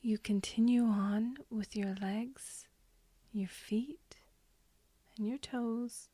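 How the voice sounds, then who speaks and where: A woman with a soft, breathy, whispery voice leading a guided hypnosis meditation in a soothing, gently instructing way. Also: mid-range voice, dry recording. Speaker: hypnotherapist guiding a session.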